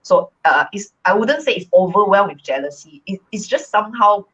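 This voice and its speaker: A woman from Malaysia co-hosting a casual live stream, talking in a relaxed, reflective way with many fillers. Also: mid-range voice, moderate pace, slightly rough, muffled recording. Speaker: co-host.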